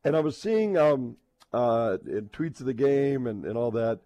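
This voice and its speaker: A man hosting a sports radio show in casual talk, halting and repeating words as he builds toward a point. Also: mid-range voice, animated, mellow, no room sound.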